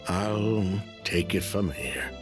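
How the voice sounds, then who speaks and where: A man's voice: sits low, slow. An actor playing a defensive, dismissive businessman with something to hide, in an anime-style game. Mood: resigned, stern. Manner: dismissive, self-justifying. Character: defensive, dismissive male perfume businessman hiding guilt. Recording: prominent game music underneath. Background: music.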